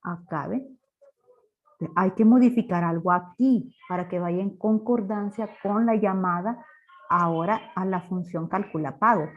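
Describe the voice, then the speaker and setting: Woman teaching programming, dull lo-fi audio. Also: medium pitch. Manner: didactic, asks rhetorical questions. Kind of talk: live class explanation, step by step. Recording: dull lo-fi online class audio.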